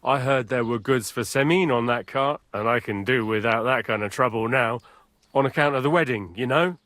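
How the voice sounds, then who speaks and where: An actor playing a chatty, aggrieved middle-aged medieval village bailiff in a video game. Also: male voice, mid-range voice, moderate pace, a bit bright, no echo, natural voice. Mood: chatty, curious. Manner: garrulous, grumbling.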